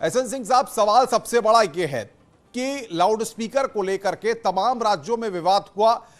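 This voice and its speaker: A man's voice: high-pitched, moderate pace. A man anchoring a TV news debate, insistent and repeating his points as he moderates.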